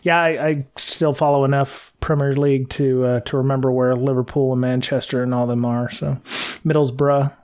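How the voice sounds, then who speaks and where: An American man talks casually as a podcast co-host. His delivery rambles and hesitates as he works through each thought, at a middling pitch. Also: a little mellow.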